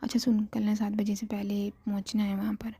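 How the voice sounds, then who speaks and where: A woman voicing a playful, teasing young woman friend in a soft whisper. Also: medium pitch, monotone, brisk, husky, dry recording.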